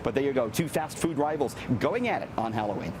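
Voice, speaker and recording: A middle-aged Canadian man giving a conversational business news report in an animated, chatty, explanatory way. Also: mid-range voice, fast talker, noisy background.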